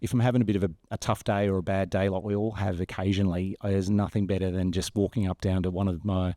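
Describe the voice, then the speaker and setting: Low, mellow Australian man. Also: flat delivery, quick pace, dry recording, clean studio sound. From rural New South Wales, Australia. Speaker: school principal, interview guest. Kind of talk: conversational podcast interview. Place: bright glass-walled room, indoors. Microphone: close podcast mic on stand.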